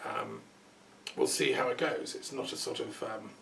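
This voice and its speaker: A man with a very gravelly, fry-laden voice, sitting back from the mic in an echoey room. He hosts a relaxed interview, thinking aloud and rambling a little. A faint hiss runs underneath. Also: medium pitch, moderate pace. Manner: rambling, thoughtful, with frequent ums and asides. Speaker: host. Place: peace museum building. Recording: roomy sound from a distance, faint noise.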